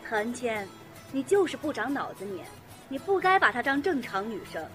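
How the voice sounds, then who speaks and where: A woman's voice: on the high side, big pitch swings, moderate pace, fairly bright tone. A woman acting a blunt, teasing teenage schoolgirl in an audio drama. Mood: blunt, scornful. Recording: music playing behind.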